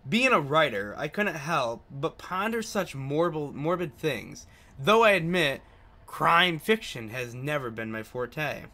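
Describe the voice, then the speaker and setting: A man's voice: fairly high pitch, big pitch swings, unhurried, sharp tone. A man hosting a gaming video, casually commenting and reading game text aloud, sometimes stumbling over words.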